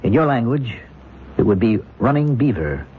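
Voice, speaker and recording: Halting, bewildered scout, acted by a man, muffled radio. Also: mid-range voice, big pitch swings, natural voice. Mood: solemn, halting. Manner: halting, solemn, searching for words. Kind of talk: old-time radio drama acting. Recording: muffled, hissy old radio recording.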